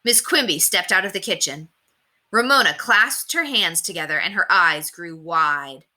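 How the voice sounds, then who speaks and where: A woman reads a children's story aloud in a very bright, crisp-edged voice. Her pitch sits a little low but moves in lively, expressive swings. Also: normal speed. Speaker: read-aloud narrator. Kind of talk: scripted children's story narration.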